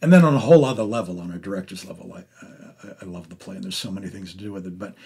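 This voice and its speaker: Halting, husky middle-aged man. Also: sits low, darkish tone. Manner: rambling, halting, effusive. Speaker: podcast host interviewing an actor. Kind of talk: casual, enthusiastic arts conversation.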